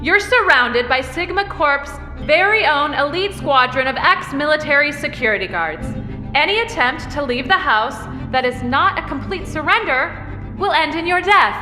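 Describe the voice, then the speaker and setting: A high, echoing, vengeful villainess. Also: female voice, expressive, normal speed, pushed and bright. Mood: menacing, triumphant. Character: vengeful, triumphant woman dictating terms to her enemy. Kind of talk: audio drama acting. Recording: echoing long reverb over music.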